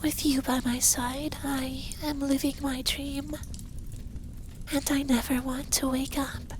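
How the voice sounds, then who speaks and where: Man voicing a high, soft effeminate dragon boyfriend. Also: flat delivery, slow pace, a little hiss or hum. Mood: tender. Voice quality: soft, breathy, lapsing into whispers. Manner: commanding, teasing, tender. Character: effeminate young male dragon lover, possessive, stern but caring. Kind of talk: ASMR roleplay, intimate and slow.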